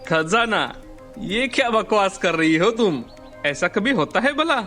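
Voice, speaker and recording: A man acts out a village farmer husband for a moral story, in a fairly high voice. He speaks slowly and deliberately, sounding like a simple, baffled man talking things over, with music underneath. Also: expressive, pushed and bright, heavily acted. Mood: doubtful. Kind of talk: scripted Hindi moral story acting.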